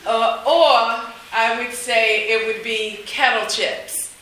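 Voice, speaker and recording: A woman co-preaching a sermon in short phrases, pausing for an interpreter. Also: fairly high pitch, steady tone, crowd noise. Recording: very echoey room, faint noise.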